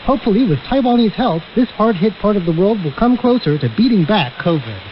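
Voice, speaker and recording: A man's voice: sits high, sing-song, brisk. A man delivering a scripted news report on shortwave radio. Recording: dull, hissy shortwave radio broadcast.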